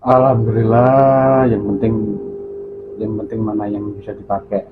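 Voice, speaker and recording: A man's voice: fairly low pitch, steady tone, a little slow. A man chatting casually and a little hesitantly as an amateur radio operator on a two-way radio. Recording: narrow phone-like sound, faint hum.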